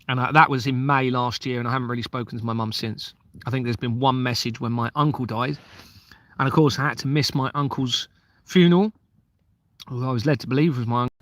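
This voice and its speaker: Earnest rambling Englishman, bright forceful voice. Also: mid-range voice, no room sound. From Kent, southeast England. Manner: rambling, earnest, loses his train of thought. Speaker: livestream host, former police officer. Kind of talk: casual livestream chat reading viewer comments.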